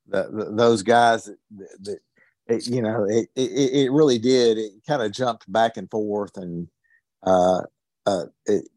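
A man with a fairly low voice speaks casually in a book group discussion. He moves haltingly, restarting and repeating short words many times before finishing a thought. The sound is dry, with little room in it. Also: a little mellow, no echo. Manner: halting, heavily stammered repetitions of words.

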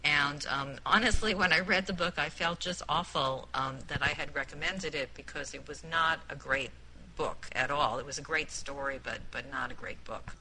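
A woman giving an informal spoken book review in a group discussion, halting and repeating words as she makes emphatic points. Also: sits low, bright, forceful tone, a little hiss or hum, rough recording. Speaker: book club member who picked the book.

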